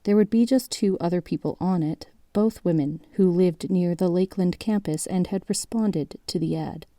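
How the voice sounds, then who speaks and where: Woman playing documentary narrator lady, lowish really mellow voice, measured and matter of fact, grim mystery audio drama, super dry sound. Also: no echo, close to an everyday voice. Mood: measured, grave. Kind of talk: scripted documentary-style narration.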